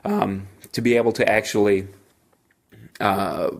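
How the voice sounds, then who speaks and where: A man hosting a solo podcast, talking informally and haltingly as he thinks aloud. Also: on the low side, fairly even intonation. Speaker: podcast host; engineer.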